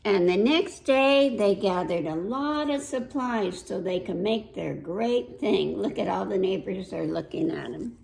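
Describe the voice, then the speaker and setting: A woman hosting a children's storytime, reading aloud and pausing to put simple questions to young listeners. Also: medium pitch, expressive, gravelly, darkish tone. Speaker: children's library storytime host.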